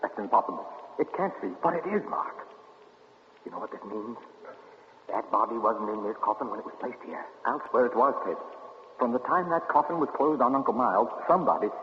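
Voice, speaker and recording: A man's voice: medium pitch, animated, brisk, natural voice. An actor in a 1940s radio suspense drama playing an intense, alarmed man. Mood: shocked, intense. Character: Mark, intense, alarmed man investigating a family tomb. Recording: dull, echoing reverberant 1940s radio recording.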